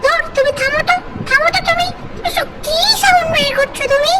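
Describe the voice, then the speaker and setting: A woman's voice: extremely high pitch, fairly even intonation, brisk. A woman voicing a sweet, devoted young wife in an amateur doll drama, chatty and coy. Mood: coyly scolding. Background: loud music.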